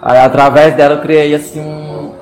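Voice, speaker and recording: A man's voice: on the high side, level tone, moderate pace, a crowd in the background. A man chatting on a podcast, giving rambling, jokey opinions. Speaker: podcast panelist. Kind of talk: casual chat.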